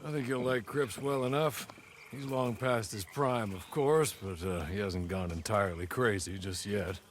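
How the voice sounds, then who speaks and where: A man's voice: lowish voice, moderate pace, a little hiss or hum, lightly acted. A man playing a proper, wry servant. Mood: dry. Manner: formal, deferential and wry. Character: polite male servant, dry and proper.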